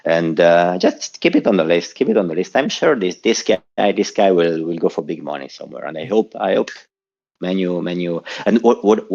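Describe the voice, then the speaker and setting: Deep-voiced Swiss caller, Italian native. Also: male voice, animated, moderate pace, creaky, a little mellow, muffled recording. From southern Switzerland. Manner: rambling, with many restarts and repeated words. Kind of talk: casual call-in chat. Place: home in Zurich.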